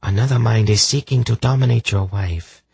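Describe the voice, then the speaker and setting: A man's voice: on the low side, normal speed, gravelly, darkish tone, breathy edge, no room sound, voice pushed up, full character voice. A man voicing a cowled woman healer in a fantasy audiobook, grave, formal and respectful as she explains.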